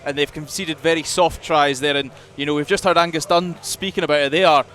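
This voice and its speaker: A man's voice: a little high, steady tone, fairly quick, fairly bright tone. A man giving chatty, conversational analysis as a rugby co-commentator. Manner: chatty, running explanations peppered with "you know". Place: commentary box at a rugby ground. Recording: faint outdoor ground noise.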